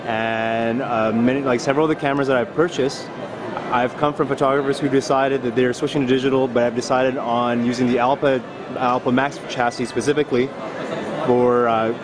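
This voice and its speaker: A man's voice: medium pitch, steady tone, a little fast, background noise. Casual male interviewer on a busy show floor. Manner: casual, joking, hesitant with fillers. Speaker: interviewer, photographer. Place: busy trade fair booth, indoors. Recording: crowd chatter from a trade fair floor.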